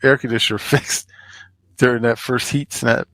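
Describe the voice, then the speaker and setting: A man's voice: medium pitch, steady tone, a little fast, sharp tone, dry recording. A man co-hosting a podcast in casual conversation, talking in a plainspoken, practical way. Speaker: podcast co-host. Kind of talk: casual chat about preparedness.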